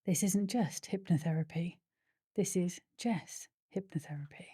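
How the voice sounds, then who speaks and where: Woman putting on a hushed hypnotherapist voice. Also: fairly low pitch, measured pace, breathy edge. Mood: soothing. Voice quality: soft and hushed. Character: soothing female hypnotherapist voice.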